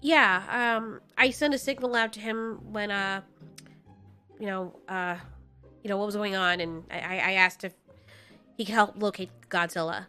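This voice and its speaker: A woman's voice: mid-range voice, fairly even intonation, moderate pace, pushed and bright, soft background music, lightly acted. A woman voicing an anxious, caring female giant moth with an earnest, hesitant, pleading manner in an audio story.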